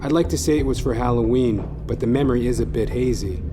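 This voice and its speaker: A man's voice: mid-range voice, fast. A man hosting a comedy podcast, delivering a scripted monologue in a theatrical, florid, mock-grandiose and crude manner. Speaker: comedy podcast host and narrator. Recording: music bed under the voice. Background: music.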